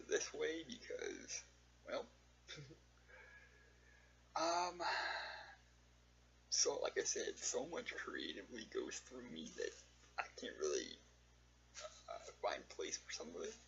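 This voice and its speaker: A man talks off the cuff as a vlogger, in a creaky, gravelly voice that moves widely in pitch. He is slow and halting, breaking thoughts into short, earnest phrases with long pauses. The sound is dull and lo-fi. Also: a bit bright. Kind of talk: casual rambling personal vlog monologue. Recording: dull lo-fi audio with faint hum.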